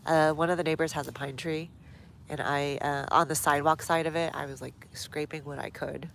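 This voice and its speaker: A woman's voice: sits low, fairly even intonation, fairly quick, creaky, fairly bright tone, a little hiss or hum. A woman with a stuffy nose hosting a casual gardening livestream outdoors, chatting to viewers as she works. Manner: chatty, reads viewer comments aloud while working.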